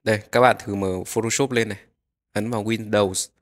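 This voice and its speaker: Guy with a fairly low voice doing a screen tutorial, instructions one step at a time. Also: steady tone, moderate pace. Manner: instructional, narrating each click as it happens. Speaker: software tutorial host.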